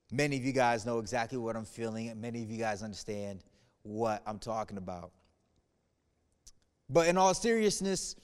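A man's voice: mid-range voice, smooth. A man in his thirties from the United States, giving an earnest video message to a congregation, moving between playful humor and somber reflection. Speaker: church speaker. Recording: reverberant room.

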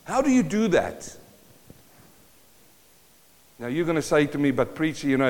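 A South African-born man preaching a sermon, emphatic and full of rhetorical questions to the congregation. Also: a little high. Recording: long hall reverb.

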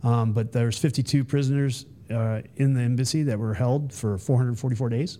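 A man in his fifties with a mellow, unhurried tone tells stories as a podcast guest. He rambles, restarts his sentences and drifts off on tangents in a loose conversational flow. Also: mid-range voice, steady tone. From Texas, US. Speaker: podcast guest, Army veteran. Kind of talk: casual conversational storytelling.

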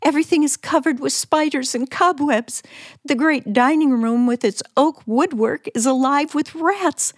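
An actress playing a frightened, pleading wife in 1940s radio suspense style, hesitant, breathless and theatrical. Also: female voice, sits high, normal speed, no echo, clean studio sound. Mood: despairing narration. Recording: old radio drama, faint music behind.

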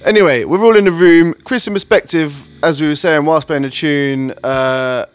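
Bloke hosting a drum and bass show, keen and casual, says mate a lot. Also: male voice, moderate pace. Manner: enthusiastic, slangy, says mate. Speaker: radio show host. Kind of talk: casual chat about music releases.

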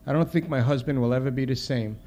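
A man's voice: mid-range voice, fast, dark tone, slight background noise, lightly acted. A man voicing a middle-aged woman caller, a tender and sincere bereaved mother. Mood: sorrowful.

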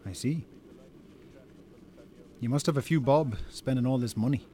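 An actor playing an exasperated, dry-tongued Irish brother chiding a drinker in a naturalistic radio drama. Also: male voice, medium pitch, measured pace, dark tone, slight background noise, close to an everyday voice. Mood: reproachful.

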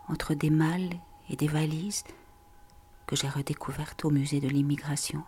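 A woman narrator reading a poetic, introspective literary monologue in a hushed, breathy way. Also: deep voice, a little hiss or hum.

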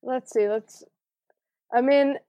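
A woman's voice: high-pitched, fairly even intonation, slow, mellow. American woman, young adult, casual podcast guest, hesitant, often restarts sentences. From United States. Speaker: podcast guest, film student. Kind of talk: casual chat.

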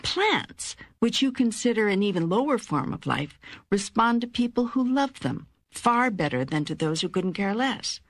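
An older woman voicing God as a calm, wise, authoritative teacher in an audiobook, with measured, emphatic phrasing and a husky voice. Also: mid-range voice, dry recording, studio quality. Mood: calm, instructive. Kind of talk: audiobook dialogue reading.

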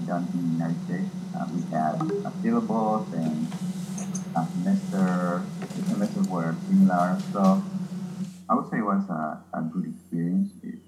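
A man's voice: deep voice, very noisy. A man from El Salvador with a Salvadoran Spanish accent, explaining things casually as an interview guest. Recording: echoey, roomy sound.

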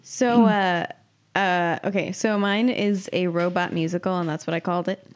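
A woman's voice: lowish voice, steady tone, moderate pace, clean tone. A woman in her twenties co-hosting a podcast, giggly and self-mocking as she chats and reads her own comic story. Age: 20s. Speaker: podcast co-host and writer. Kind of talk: casual banter and reading own comic story aloud.

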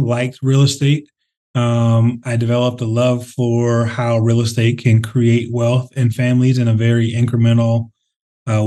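A man from the Twin Cities, a company head, answering interview questions in a thoughtful, conversational way with frequent pauses and fillers. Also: medium pitch, mellow. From Minnesota, Twin Cities. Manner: thoughtful, many fillers and restarts. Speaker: real estate CEO, interview guest.

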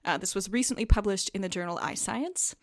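A woman hosting a podcast, reading a measured scripted introduction and then interviewing her guest. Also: mid-range voice, dry recording, studio quality. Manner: measured, with small filler restarts. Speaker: podcast host.

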